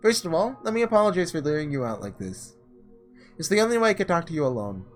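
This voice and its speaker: A man voicing a mysterious, graceful, teasing girl in a visual novel, speaking softly, politely and enigmatically. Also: fairly high pitch, big pitch swings, fast talker, soft background music. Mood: calm, coaxing.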